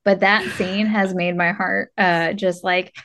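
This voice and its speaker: A woman's voice: mid-range voice, little pitch movement, measured pace, a bit bright. A woman co-hosting a podcast, chatting casually and comedically about movies with jokingly emphatic exclamations. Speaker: podcast co-host.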